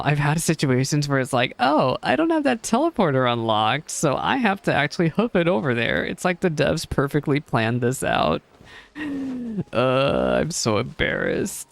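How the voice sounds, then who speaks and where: A man's voice: fairly high pitch, gravelly. A man giving casual live reaction commentary on a game, chatty, rambling and self-deprecating. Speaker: game streamer.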